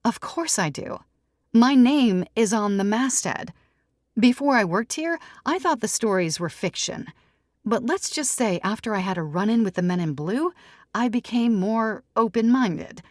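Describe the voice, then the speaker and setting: A composed female tabloid editor in an audiobook, acted by a woman narrator. She is assured and pointed, speaking in a raised pitch with a dry, close sound. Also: animated, measured pace, a little mellow, no echo. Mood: firm, assured. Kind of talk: audiobook character dialogue.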